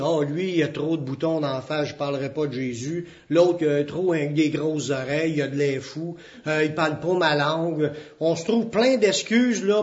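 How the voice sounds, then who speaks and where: A man's voice: a little high, a little mellow. A man with a Québécois French accent, preaching a sermon as pastor in an earnest, plain-spoken way. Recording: dull, muffled lo-fi recording.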